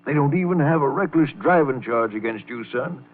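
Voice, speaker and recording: A man's voice: medium pitch, lively intonation, brisk, slight background noise, lightly acted. A sly, paternal older fellow from an old radio crime play, played by a man. He talks in blunt, folksy slang with a wry, confiding turn. The recording is narrow and phone-like, as from an aged broadcast. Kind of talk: old-time radio crime drama acting.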